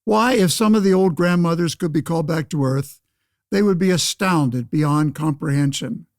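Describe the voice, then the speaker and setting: An actor playing a pompous, self-righteous 1911 state senator in a radio play, speaking in formal, declamatory phrases. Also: male voice, a little high, moderate pace, no echo, clean studio sound, natural voice. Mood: grandiose, preachy. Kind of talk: pseudo-radio play interview and oratory.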